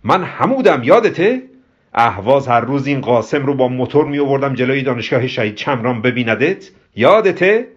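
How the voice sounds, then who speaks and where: A man voicing a boisterous, bossy, jovial storybook character who speaks loudly and emphatically, on a dull recording. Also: medium pitch, expressive, lightly acted. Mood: boastful, loud. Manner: loud, emphatic, swings into exaggerated formality. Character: boisterous, bossy, jovial man, self-appointed spiritual guide. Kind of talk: acted audiobook storytelling.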